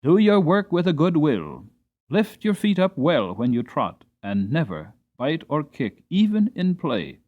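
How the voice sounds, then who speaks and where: A gentle, dignified old mother mare voiced by a man speaks in a storybook with earnest, measured care. The pitch moves expressively from word to word, and the recording is slightly dull. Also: wildly swooping pitch, full character voice. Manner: measured, earnest, admonishing delivery. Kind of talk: dramatic storybook reading.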